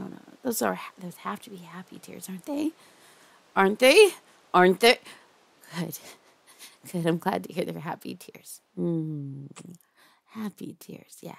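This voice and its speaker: Woman voicing a possessive captor, soft crooning whispers, gravelly fry, slow drawl, audio roleplay. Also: medium pitch, no echo, exaggerated voice. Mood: cooing. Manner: soft crooning, slipping into whispers and half-sung lines. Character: possessive obsessive female captor, sweetly unhinged.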